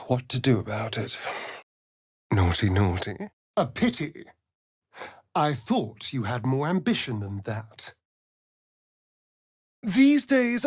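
A man's voice: mid-range voice, no room sound, clean studio sound, full character voice. A man with an English accent playing a vain, sardonic vampire rogue in a video game, with an arch, mocking, drawling delivery. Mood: alarmed, disgusted. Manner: arch, drawling, mocking, swinging between purr and outburst. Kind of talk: video game character voice lines.

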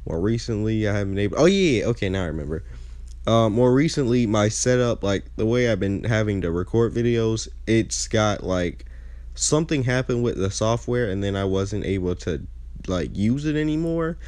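A man's voice: on the low side, expressive, moderate pace, a little mellow, no echo. A young man hosting a gaming channel, chatting casually with a nasal, stuffed-up voice and often losing his train of thought. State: congested. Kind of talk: casual chat over gameplay.

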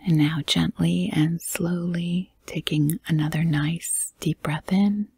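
A woman with a very breathy, almost whispered voice leads a guided meditation. Her pitch is low and her delivery is slow and gentle, with calm pauses between short phrases. The sound is close and dry. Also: no echo.

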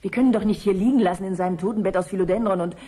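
An actress playing a sharp-witted, sceptical young student in a radio play, sardonic and demanding. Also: female voice, mid-range voice, racing, close to an everyday voice. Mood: curt, impatient.

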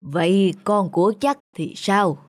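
A woman voicing a grave, wise old father who questions and moralizes in a measured way in an animated folk tale. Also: mid-range voice, no room sound, studio quality, lightly acted. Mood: puzzled, probing.

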